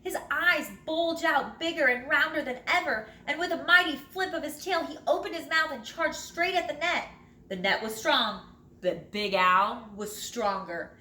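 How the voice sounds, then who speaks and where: A woman teacher reading a children's storybook aloud in an expressive, dramatic way with drawn-out phrases. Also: high voice. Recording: roomy echo, light background noise.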